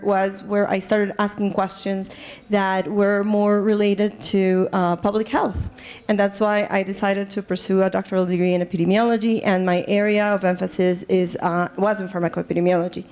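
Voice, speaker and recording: A woman's voice: medium pitch, a little mellow, clear, resonant tone. Colombian woman giving an academic lecture. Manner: earnest, lots of fillers and restarts, engages audience. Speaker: assistant professor, presenter. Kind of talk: academic lecture to a live group. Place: conference room.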